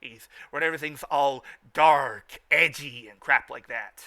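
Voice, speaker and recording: A man hosting an informal fan review, speaking haltingly and often repeating and restarting his phrases. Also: mid-range voice, gravelly, sharp tone. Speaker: review show host. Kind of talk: informal fan commentary and recap.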